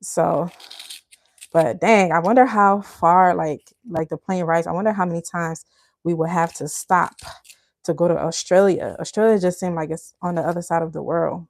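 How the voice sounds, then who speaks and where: Woman from Liberia, live cooking host, chatty and laughing, lowish voice, dry room. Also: fairly even intonation, moderate pace, creaky, dry recording. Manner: chatty, addresses viewers as y'all, laughs often. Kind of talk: live cooking stream chat.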